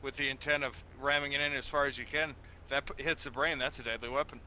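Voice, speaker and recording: A man playing a blunt law officer in an online game roleplay, deadpan and commanding with dry sarcasm. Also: mid-range voice, fast talker. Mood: dry, needling. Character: blunt, dryly needling male law officer. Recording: muffled game voice chat, faint noise.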